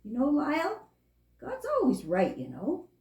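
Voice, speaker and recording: A woman's voice: sits high, huge pitch swings, unhurried, darkish tone, exaggerated voice. A woman voicing a friendly, chatty young female skunk in a children's puppet show, earnest as she explains things. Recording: roomy, echoing room sound.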